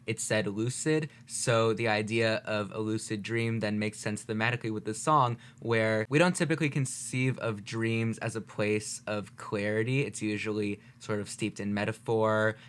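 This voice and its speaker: A man's voice: on the low side, fairly even intonation, normal speed, hardly any pauses, fairly bright tone, smooth. A man giving casual, analytical reaction commentary, explaining his points articulately in a conversational way. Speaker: video host, music reactor.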